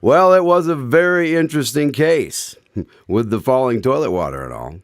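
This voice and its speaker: A man's voice: medium pitch, very animated, moderate pace, no echo, studio quality. A man playing a genial, curious radio announcer who hosts an old-time style drama in a courteous, theatrical way. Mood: intrigued. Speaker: announcer and host.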